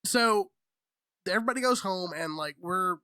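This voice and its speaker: A man in his thirties co-hosting a casual podcast, telling stories with lots of swearing. His delivery is animated and chatty, and the sound is close and dry. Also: high-pitched, moderate pace, clear, resonant tone, no echo. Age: 30s. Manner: profane, animated storyteller. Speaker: podcast co-host. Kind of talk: casual storytelling chat.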